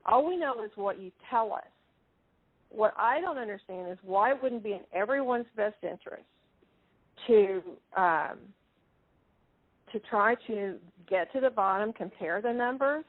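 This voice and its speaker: A woman's voice: a little high, animated, dry recording. Female lawyer on a dry, narrow phone connection, persistently arguing and restarting her sentences. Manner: halting, persistent, frequently rephrasing. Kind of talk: argumentative phone conversation. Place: conference phone call. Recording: narrow, tinny phone line.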